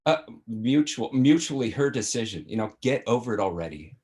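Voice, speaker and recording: A man's voice: mid-range voice, animated, a little fast, a little mellow, close to an everyday voice. An older man playing a flippant, much-married sitcom father who needles his grown son in a conversational, teasing way over a video call. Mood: needling. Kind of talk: sitcom table read.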